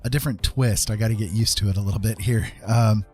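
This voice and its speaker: A man's voice: on the low side, steady tone, quick pace, darkish tone, soft background music. A man chatting casually as he streams a painting session, thinking aloud in a hesitant, rambling way. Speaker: streamer giving art tutorials. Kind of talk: casual livestream chat while painting.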